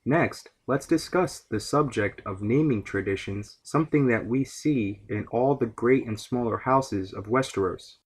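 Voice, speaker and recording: A man's voice: mid-range voice, measured pace, hardly any pauses, husky. A man hosting a podcast, giving scripted, explanatory narration about fantasy lore. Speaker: podcast host.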